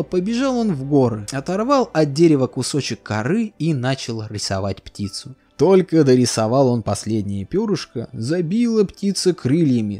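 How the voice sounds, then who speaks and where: A man telling a fairy tale as a storyteller, reading it aloud with lively expression. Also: medium pitch. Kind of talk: storybook narration. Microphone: voice-over. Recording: soft music behind.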